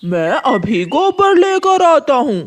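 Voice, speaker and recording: A woman plays a greedy male crow farmer from a kids' cartoon. He scolds and bullies in a high voice that swoops widely, forever insisting on what is his. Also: big pitch swings, slow pace, exaggerated voice. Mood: greedy, eager. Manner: scolding, possessive, emphatic. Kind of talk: children's cartoon character voice.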